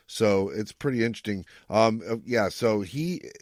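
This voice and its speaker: A man's voice: lowish voice, normal speed, no echo. A man hosting a podcast in casual chat, explaining things in a rambling, self-correcting way. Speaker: podcast host.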